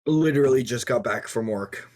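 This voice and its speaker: A man's voice: medium pitch, moderate pace, darkish tone. A man co-hosting a casual stream chat, with a playful, mock-formal way of putting things. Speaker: podcast co-host.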